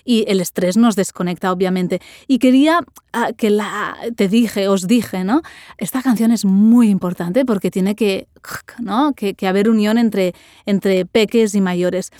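Lady podcast host, mid-pitch voice, asks lots of follow-ups, dry close sound. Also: measured pace, warm tone, no echo. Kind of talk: podcast interview.

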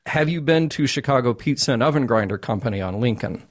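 A man hosting a casual panel chat, talking in an animated, joking way. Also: medium pitch, quick pace. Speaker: podcast host.

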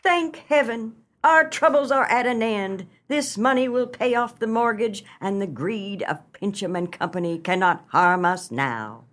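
An aged farm mother with a medium-pitched voice, played by a woman. She is pious, sentimental and forgiving, speaking in earnest comic radio melodrama style. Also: a little slow, hardly any pauses, fairly bright tone, natural voice. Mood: relieved.

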